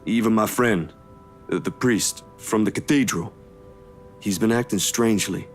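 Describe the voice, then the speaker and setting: Actor as a low-voiced, measured young man. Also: music in the background. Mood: troubled, reassuring. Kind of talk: visual novel voice acting.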